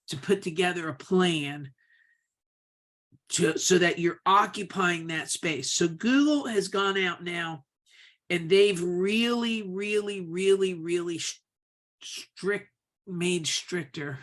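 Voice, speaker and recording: A native Italian speaker talking loosely about online marketing, in a middle-pitched voice. The talk rambles, with words repeated and sentences restarted. It sounds off the cuff rather than read. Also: a bit bright. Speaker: digital marketing business owner. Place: near Houston, Texas.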